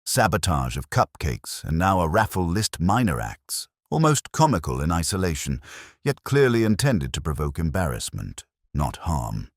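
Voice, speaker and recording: Very deep male narrator, animated delivery. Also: dry recording, clean studio sound. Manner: measured, literary, formal delivery. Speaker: audiobook narrator. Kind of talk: scripted mystery story narration.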